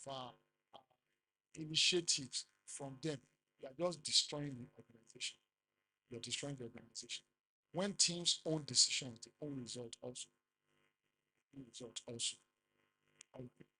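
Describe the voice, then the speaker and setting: A middle-aged man with a Nigerian accent giving a business seminar talk, rising loudly for emphasis and quizzing the audience. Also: fairly high pitch, slight background noise, poor audio. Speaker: conference speaker.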